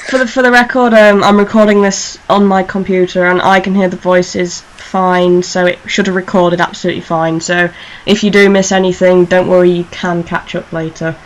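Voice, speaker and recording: A woman's voice: on the low side, fairly even intonation, normal speed, rough recording. A woman hosting a casual fan discussion over an internet call, informal and playful in how she talks. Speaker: online radio show host. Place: internet call recorded on a computer.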